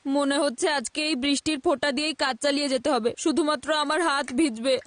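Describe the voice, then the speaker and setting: A woman voicing a high eager cartoon boy. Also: quick pace, no echo, faint music behind, close to an everyday voice. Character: mischievous young boy eager for rain. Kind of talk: Bengali cartoon dub.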